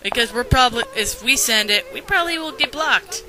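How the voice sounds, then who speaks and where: A young woman giving blunt, unscripted critical commentary in an animated, ranting way. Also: on the high side, fairly quick. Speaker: commentary video host. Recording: music playing behind the voice.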